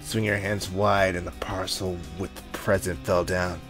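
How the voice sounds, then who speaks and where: A man with a low voice reads a visual novel aloud as a gaming host. He is jokey and casual, stumbling now and then and correcting himself. Music plays softly behind him. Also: little pitch movement, moderate pace. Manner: jokey, slips and corrects himself while reading. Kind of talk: reading a visual novel aloud with joking asides. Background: background music.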